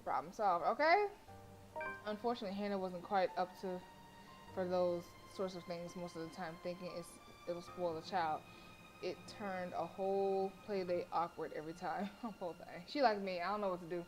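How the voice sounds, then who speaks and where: Woman streamer, kinda low voice, reads visual novel out loud n chats over it, bit of music behind. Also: moderate pace, low-quality recording. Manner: expressive, playful asides. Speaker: game streamer. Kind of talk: reading game text aloud with casual live commentary. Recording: faint game music behind. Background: game music.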